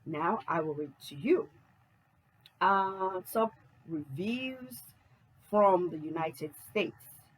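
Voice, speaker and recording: A woman who writes books, talking casually to camera in an unhurried way and reading reviews aloud. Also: lowish voice, animated, breathy edge, poor audio. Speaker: author and book channel host.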